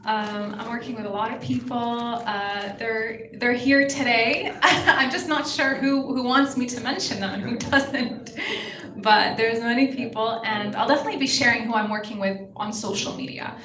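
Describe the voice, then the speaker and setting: A woman's voice: a little high, normal speed. A young woman from Palestine, a pageant contestant, answering press questions in an earnest, impassioned way. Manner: earnest, impassioned, speaks in full sentences. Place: hotel event hall with a crowd. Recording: echoey hall, busy room noise.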